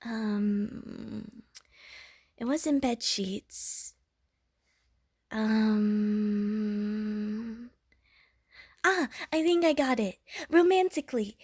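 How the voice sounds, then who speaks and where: A woman's voice: a little high, extremely slow, pauses often, husky, no room sound, studio quality, heavily acted. A woman playing an innocent young water slime creature in an audio roleplay, with a childlike, playful, gushing manner. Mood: shy, nervous. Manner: childlike, playful, gushing, punctuated with little bloop noises. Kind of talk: audio roleplay addressed to the listener.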